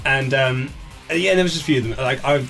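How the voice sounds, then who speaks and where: Fast-talking man telling anecdotes. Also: medium pitch, gravelly, fairly bright tone, soft background music. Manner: chatty storyteller who acts out quoted conversations. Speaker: author being interviewed about his book. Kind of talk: casual chat, animated anecdotes.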